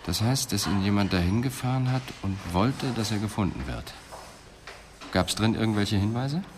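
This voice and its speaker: A man's voice: on the low side, lively intonation, normal speed, background noise, lightly acted. A man playing a pensive, dryly ironic police detective in a radio crime drama, speaking in a thoughtful, clipped way. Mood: dry, alert.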